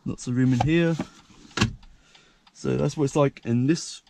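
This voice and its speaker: A young man with a croaky voice, talking casually and rambling with lots of fillers while he films himself showing off his car. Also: medium pitch, measured pace, noisy background. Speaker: car vlogger. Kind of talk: casual point-of-view car walkaround. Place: parked car by a country lane, outdoors and inside the car. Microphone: action camera held by hand.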